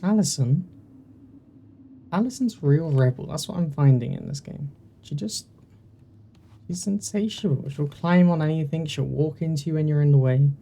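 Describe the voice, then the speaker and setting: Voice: mid-range voice, normal speed, vocal fry, long pauses, dark tone. A video game host chatting casually through a playthrough in a rambling, self-deprecating way, on a poor-quality home mic. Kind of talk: casual commentary while playing a game.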